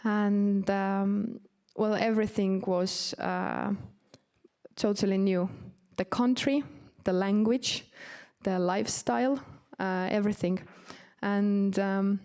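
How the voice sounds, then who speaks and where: A woman from Estonia, a native Estonian speaker with an Estonian accent, gives an informal orientation presentation to new students in a casual, hesitant way with frequent pauses. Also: medium pitch, fairly even intonation, darkish tone, clean tone.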